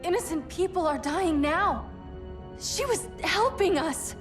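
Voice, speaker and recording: A woman's voice: very high voice, expressive, a little slow. A woman playing an earnest, defiant young alien superheroine in a dramatic video game scene, speaking with emotional conviction. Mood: accusing. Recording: roomy echo, music behind.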